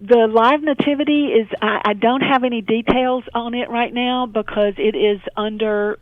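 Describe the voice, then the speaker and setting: A woman giving information as a guest in a radio interview, pausing often mid-sentence in a hesitant way. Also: on the high side, steady tone, no room sound. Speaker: radio interview guest, local development organisation president. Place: remote call into a radio show. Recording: phone-like narrow band, dry.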